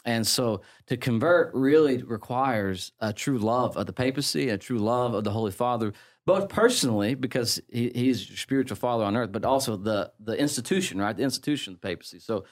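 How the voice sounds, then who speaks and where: English Midlands priest, middle-aged, loose chatty explainer, restarts a lot. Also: male voice, mid-range voice, lively intonation, a little fast. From England. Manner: chatty, many fillers and restarts, laughs easily. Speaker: priest guest. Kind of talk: conversational explanation.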